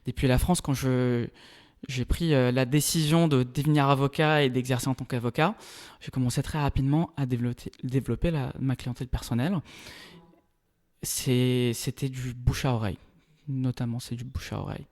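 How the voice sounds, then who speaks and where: Guest lawyer, thirties, from Brazil, Portuguese. Mellow, measured, pauses to find words. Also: male voice, mid-range voice, steady tone. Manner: measured, explanatory, searching for words. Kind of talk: casual interview talk.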